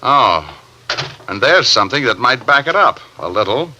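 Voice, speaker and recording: An American actor playing a wry, confident private detective in an old-time radio drama, brisk and commanding. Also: male voice, medium pitch, very animated, pushed and bright, a little hiss or hum. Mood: musing, shrewd.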